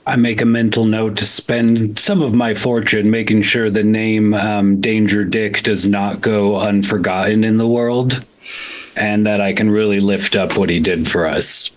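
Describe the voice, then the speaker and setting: A man's voice: lowish voice, steady tone, measured pace, close to an everyday voice. A man playing a wealthy, vague old survivor who talks in a hesitant, muddled way in an improvised game. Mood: solemn. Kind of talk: improvised in-character roleplay.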